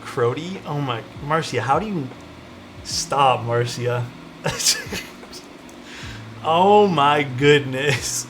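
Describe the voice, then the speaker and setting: A man's voice: mid-range voice, lively intonation, extremely slow, pauses often, a bit bright, slight background noise. Easygoing Toronto guy chatting with his stream. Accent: Toronto Canadian. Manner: easygoing, chatty, answers chat while soldering. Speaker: livestreamer building a keyboard. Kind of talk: casual livestream chat.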